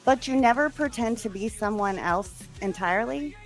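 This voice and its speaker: A woman's voice: on the high side, lively intonation, normal speed, faint music behind. A woman playing a curious, admiring teenage girl in a dramatic script reading. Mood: earnest.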